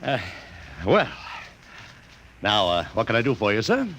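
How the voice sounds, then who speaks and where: An actor playing a brisk, wisecracking advertising boss in a radio drama, with breezy, slangy, wry delivery. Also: male voice, fairly low pitch, huge pitch swings, exaggerated voice. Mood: eager, flustered. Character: brisk, wisecracking, money-minded male advertising agency boss. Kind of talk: old-time radio drama acting. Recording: noisy old radio recording.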